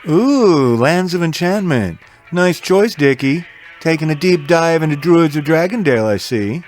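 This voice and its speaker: A man playing a friendly, encouraging game-store owner in an audio drama, chatty and expressive. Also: a little high, unhurried, faint music behind. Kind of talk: audio drama character dialogue.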